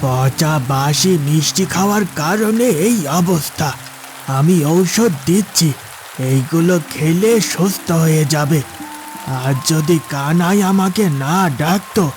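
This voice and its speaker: Man acting calm village healer. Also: on the high side, measured pace, soft background music, natural voice. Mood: calm, explanatory. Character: village herbal healer, calm and matter-of-fact. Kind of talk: cartoon voice acting.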